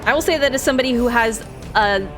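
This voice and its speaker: A chatty woman with a Minnesota accent talks casually while she plays, rambling from one thought to the next and laughing often. Her voice sits a little high for a woman. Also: quick pace, music in the background. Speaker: game streamer. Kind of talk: casual chat while playing.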